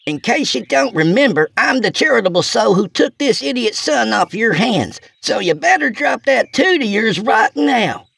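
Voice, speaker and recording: Brash, scrappy storybook country woman, acted by a man, high-pitched with creaky edges, bossy and sarcastic. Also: big pitch swings, moderate pace, hardly any pauses, fairly bright tone, no echo, full character voice. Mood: angry snarling. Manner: folksy, bossy, insulting.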